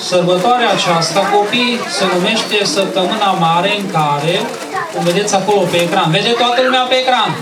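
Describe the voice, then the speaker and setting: A woman hosting a children's event, telling a story didactically, repeating phrases and checking that listeners follow. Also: mid-range voice. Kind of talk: live Bible storytelling to children. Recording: echoey, distant, very noisy crowd recording.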